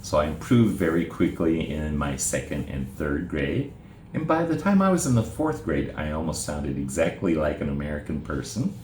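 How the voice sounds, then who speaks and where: A middle-aged man from Japan, a native Japanese speaker, with an extremely deep voice. He talks in a measured, unhurried way and often pauses on "uh". The recording has a roomy echo and a faint hiss. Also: big pitch swings, a little mellow. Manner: measured and plain, with frequent "uh" pauses. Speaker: presenter telling his life story. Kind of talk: informal spoken presentation.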